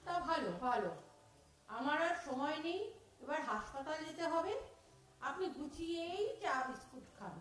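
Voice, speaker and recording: A woman's voice: sits high, animated, moderate pace. An actress playing an exasperated woman doctor who answers with sighs and sarcasm in an audio drama. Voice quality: sighing, sarcastic. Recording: echoey room from far off.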